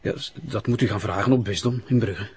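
A man's voice: lowish voice, a little fast, darkish tone, close to an everyday voice. An actor playing a plain, hesitant Flemish father with a folksy manner in an audio drama. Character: plain, hesitant working father calming his wife.

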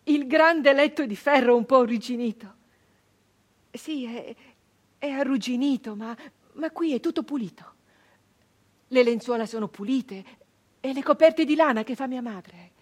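An actress playing a wistful nineteenth-century peasant brigand woman in a halting, emotional theatrical monologue, with a husky voice. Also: high voice. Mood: wondering, tender. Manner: hesitant repetitions, halting, emotional reminiscence. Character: 19th-century Italian peasant-born brigand woman, wistful, remembering home. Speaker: stage actress. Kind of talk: theatrical dramatic monologue for radio.

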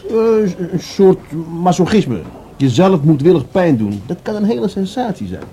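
An actor playing a grumbling police adjutant in a Dutch radio drama, halting and deferential to his superior. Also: high voice, sing-song, mellow, a little hiss or hum. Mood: grumbling, hesitant.

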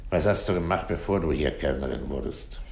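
A man playing a terse, probing police commissioner in a 1960s German radio crime drama, blunt and unhurried in his questions. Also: very deep voice, gravelly, darkish tone. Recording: roomy early-1960s radio studio recording.

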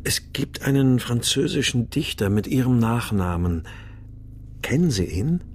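An actor playing a troubled husband searching for his missing family, narrating and speaking in a measured, brooding way in a radio crime drama. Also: medium pitch, animated, slight background noise, lightly acted. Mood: probing. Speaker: lead actor and first-person narrator.

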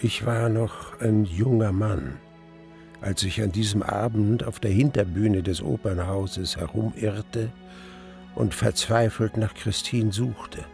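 An elderly man narrates a radio play in a deep-set, creaky voice. He is mournful and reflective, haunted by a lost love, and faint music runs behind his words. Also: darkish tone. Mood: haunted, ominous. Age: older. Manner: brooding, halting, reflective. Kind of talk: dramatic first-person radio play narration.

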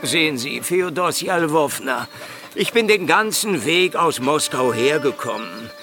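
An actor playing a sly, mocking private detective with dry mock courtesy in a German radio drama. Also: male voice, fairly high pitch, animated, a little slow, music in the background. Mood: mock-courteous, coaxing. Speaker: lead actor in a radio play.

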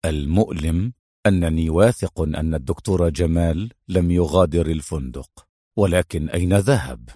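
A man voicing a serious, sharp-witted secret agent in an audiobook, measured and terse. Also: deep voice, no room sound, studio quality, pitched below the performer's own voice. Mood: grim, irritated. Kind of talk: dramatized audiobook hero.

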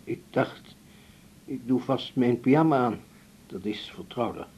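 An actor playing a courteous, composed older male patient in a radio drama, speaking gently and haltingly. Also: lively intonation, husky, muffled recording. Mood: gentle, grateful. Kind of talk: 1980s Dutch radio drama acting. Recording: faint rumbling background noise.

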